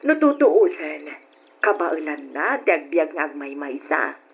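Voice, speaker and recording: An actress playing a chatty, nosy middle-aged village woman in a radio drama, with a gossipy, animated manner. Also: deep voice, measured pace, slightly rough, full character voice. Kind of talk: Ilocano radio drama acting. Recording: dull, noisy old radio drama recording.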